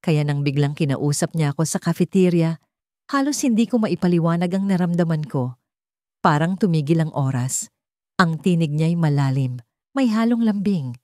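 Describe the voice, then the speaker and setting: A woman's voice: low-pitched, expressive, moderate pace, a little mellow, dry recording, studio quality. A woman reading a scripted first-person romantic story as a storyteller.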